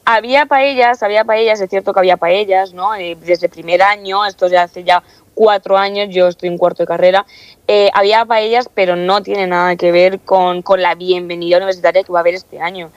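A woman in her early twenties with an accent from Spain speaks conversationally as an interviewee. Her voice sits at a medium pitch and her delivery is earnest and emphatic. The recording is dry and a little muffled. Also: steady tone, fairly quick, no echo. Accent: Spanish from Spain. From Castilla-La Mancha, Spain. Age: early 20s. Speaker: student council president being interviewed.

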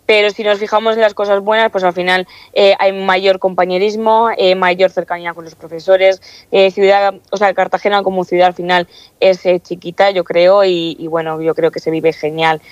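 A woman's voice: medium pitch, level tone, normal speed, dry recording, lo-fi sound. A woman in her early twenties with a Spanish accent from Spain, speaking earnestly as a student representative answering interview questions. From Castilla-La Mancha, Spain. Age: early 20s. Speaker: student council president being interviewed.

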